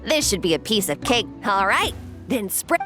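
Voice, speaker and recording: A woman's voice: medium pitch, very animated, normal speed, over music, lightly acted. A woman playing a helpful, upbeat young technician in a video game, speaking in an encouraging, friendly way. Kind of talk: animated video game voice acting.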